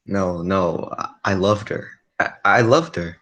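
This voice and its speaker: A man playing a quiet, lovelorn but stubborn circus man in a 1940s radio drama, hesitant and repeating himself. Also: deep voice, a little slow, full character voice. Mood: anguished, resolute.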